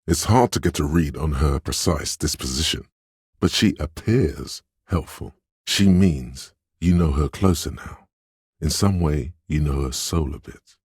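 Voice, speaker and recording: An actor playing a smooth, sly inner voice of persuasion in a video game, narrating in a gravelly bass with a measured, insinuating delivery. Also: male voice, lively intonation, a little mellow, dry recording, studio quality, exaggerated voice. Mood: scheming, suggestive. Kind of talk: scripted second-person game narration.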